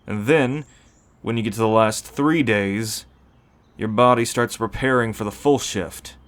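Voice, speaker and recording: A man playing a seasoned, calm werewolf who explains pack ways matter-of-factly in an ASMR roleplay. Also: fairly low pitch, a little slow.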